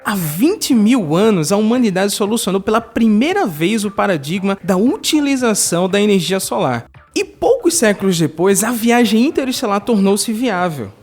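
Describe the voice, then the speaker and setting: A man's voice: sits high, sing-song, normal speed, a little mellow, heavily acted. A man playing an insistent, alarmist man in his twenties from the far future in an audio drama.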